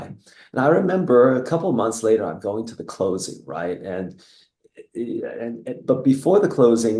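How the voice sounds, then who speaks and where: A man's voice: fairly low pitch, reverberant. A middle-aged man from the United States, a guest talking casually and reflectively in an interview. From United States (Seattle; born in Taiwan). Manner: reflective, rambling with restarts and soft laughs. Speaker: guest, entrepreneur.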